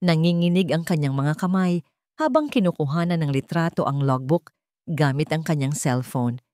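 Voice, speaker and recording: A woman's voice: low-pitched, expressive, moderate pace, darkish tone, no room sound, studio quality. A woman storyteller reading scripted fiction in a dramatic narration style.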